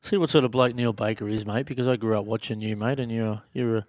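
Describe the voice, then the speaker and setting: Matey Australian podcast host. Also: male voice, on the low side, fairly even intonation, quick pace, a little mellow, dry recording, studio quality. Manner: matey, says mate constantly. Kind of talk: casual podcast chat.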